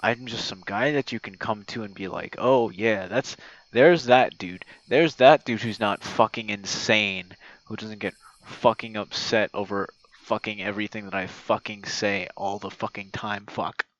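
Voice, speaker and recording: A man voicing an old gamer buddy, lonely and self-effacing, in a halting, sweary, casual voice message. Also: on the low side, a bit bright, muffled recording. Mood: self-effacing, exasperated. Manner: rambling, halting, frequent swearing. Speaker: audio roleplay performer. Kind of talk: intimate ASMR roleplay voice message, rambling casual talk. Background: night crickets and insects.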